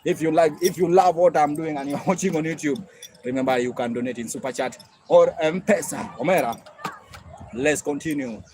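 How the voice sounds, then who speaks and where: A man's voice: on the high side, normal speed, a little mellow, a little hiss or hum. A Kenyan man with a Kenyan accent chats casually on a live stream as a musician, exuberant and effusive, between bursts of singing. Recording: live music playing behind the voice. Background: traditional string instrument music with rattle.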